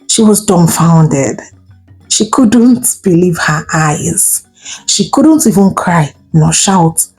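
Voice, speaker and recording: A Nigerian woman narrating a folktale in a theatrical, expressive style, drawing out words and exclaiming as she goes. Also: fairly low pitch, husky, low-quality recording. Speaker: storyteller. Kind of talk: dramatic folktale storytelling narration.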